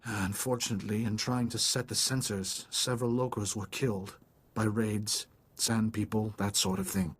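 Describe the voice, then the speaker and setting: A man's voice: lowish voice, fairly even intonation, moderate pace, breathy edge. A man playing an eager, polite ambassador in a video game, chatty and apologetic in manner.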